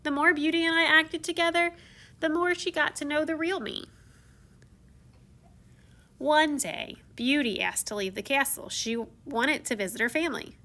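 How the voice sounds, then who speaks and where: A woman's voice: high voice, normal speed, pushed and bright, voice pushed up. A woman reading a storybook in the first person as a theatrical, confiding, self-mocking young prince who became a beast. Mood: wistful, warm. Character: theatrical, once-shy young prince turned beast, telling his tale.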